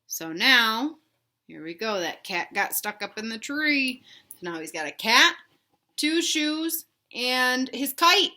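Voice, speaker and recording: A woman reading a children's storybook aloud in an animated, emphatic way, pausing to put questions to young listeners. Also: on the high side. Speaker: read-aloud host for children.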